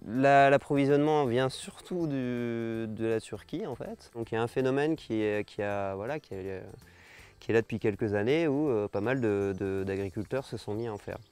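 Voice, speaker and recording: A man in his thirties from the French Basque Country, speaking off the cuff in an interview with a halting, repetitive way of phrasing. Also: medium pitch, darkish tone, clean tone. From French Basque Country, France. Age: 30s. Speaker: farm project founder. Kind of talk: documentary interview, spontaneous speech.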